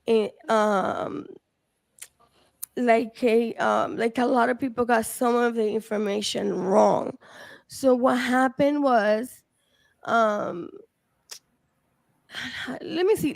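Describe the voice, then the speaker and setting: A woman from the Dominican Republic on a streamed panel, talking casually and haltingly with many fillers and restarts. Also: fairly high pitch, creaky, no echo. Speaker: research team member.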